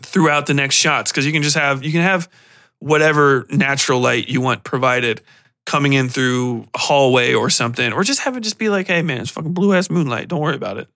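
A man hosting a podcast, giving a casual, animated and opinionated film rant in slangy, profane language. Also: medium pitch, a little fast. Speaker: podcast host.

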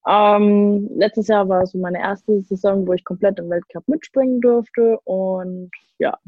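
A woman's voice: mid-range voice, steady tone, measured pace, dry recording. A woman of twenty-one introduces herself in a shy, hesitant way. The recording is dry and a little dull, with no room sound. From Thuringia, Germany. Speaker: ski jumper, podcast guest. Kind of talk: casual self-introduction.

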